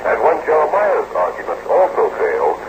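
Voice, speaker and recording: An actor playing the solemn narrator of an old-time radio adventure serial, with dramatic, declamatory delivery. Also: male voice, fairly high pitch, wildly swooping pitch, normal speed, muffled recording. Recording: very noisy old radio recording.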